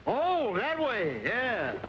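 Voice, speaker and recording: An actor playing a cranky, feisty old cowboy sidekick with a folksy American Western drawl in an old Western. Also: male voice, very high voice, wildly swooping pitch, very slow, highs cut off, exaggerated voice. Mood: grumbling, flustered. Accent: American rural Western. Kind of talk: old Western film acting. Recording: noisy old film soundtrack.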